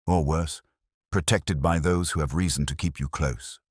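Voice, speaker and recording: A man voicing a shrewd, grave detective in an audiobook, speaking deliberately and pressing his point. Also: extremely low pitch, fairly even intonation, husky, no echo, clean studio sound. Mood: grave, probing. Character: shrewd, grave, probing male Victorian detective. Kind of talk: audiobook character dialogue.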